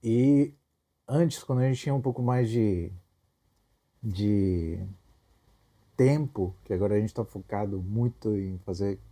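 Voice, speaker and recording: A man's voice: fairly low pitch, measured pace, pauses often, dark tone. A young man with a Brazilian Portuguese accent, co-hosting a casual couple conversation in a laid-back way with informal slang. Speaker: podcast co-host.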